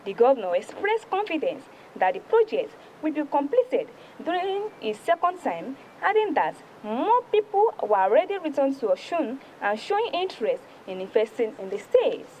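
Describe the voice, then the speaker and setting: High-pitched Nigerian woman reading news. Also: sing-song, moderate pace, few pauses, darkish tone, a little hiss or hum, poor audio. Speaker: news presenter reviewing newspapers. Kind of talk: read-aloud newspaper review on TV.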